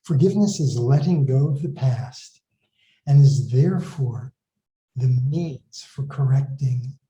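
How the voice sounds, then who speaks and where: A man with a very dark, soft-edged voice speaks slowly in short, deliberate phrases, as in a spiritual recitation. The space around him is echoey. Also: mid-range voice, expressive. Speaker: meditation co-host. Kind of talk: measured spiritual greeting and recitation.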